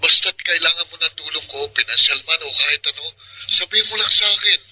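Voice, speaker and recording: A man's voice: on the high side, fast, pushed and bright, slight background noise, low-quality recording. A man playing a heartbroken young lover in a Filipino radio drama, earnest and plaintive. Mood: pleading, worried. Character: heartbroken young man pining for his absent girlfriend.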